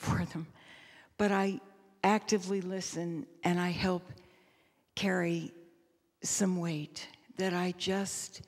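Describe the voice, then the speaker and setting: A woman pastor addresses a hall in a fairly low voice, with long reverb trailing her words. She speaks reflectively, almost preaching, in measured, emphatic phrases that she often repeats. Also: darkish tone. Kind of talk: reflective, sermon-like panel remarks.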